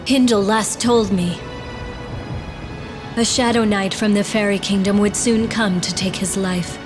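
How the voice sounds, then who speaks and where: A woman's voice: medium pitch, steady tone, measured pace, music in the background, natural voice. A woman voicing an earnest, resolute young princess in a video game, with formal, solemn speech. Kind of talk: dramatic video game voice acting.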